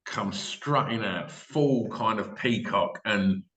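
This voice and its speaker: A man's voice: on the low side, slow, a bit bright. A man with an English accent hosting a podcast, chatting in an enthusiastic, informal way. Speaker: podcast host. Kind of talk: casual interview chat. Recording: echoey room sound.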